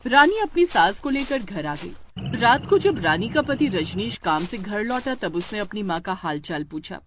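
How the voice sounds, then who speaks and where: A woman's voice: medium pitch, very animated, moderate pace, fairly bright tone, a little hiss or hum. A woman reading scripted narration for a family drama story.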